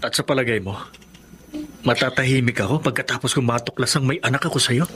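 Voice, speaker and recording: A man's voice: medium pitch, fast talker, fairly bright tone, a little hiss or hum, close to an everyday voice. A man acting an earnest, suspicious husband in a Filipino radio drama. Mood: pleading.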